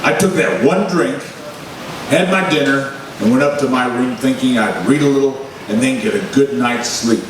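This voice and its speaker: A man's voice: medium pitch, moderate pace, crowd noise, close to an everyday voice. A man playing a worn-out, exasperated newspaper boss in old-time radio drama style, with theatrical, emphatic delivery. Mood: agitated, confiding. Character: blustery, frazzled, worn-out male newspaper boss. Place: live stage recreation at a festival. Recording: echoey hall, distant mic, noisy.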